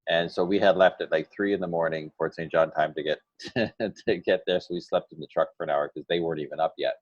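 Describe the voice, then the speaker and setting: Male videographer, casual chat, very low voice, dull dry recording. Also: steady tone, fairly quick, no echo. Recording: slightly dull dry sound.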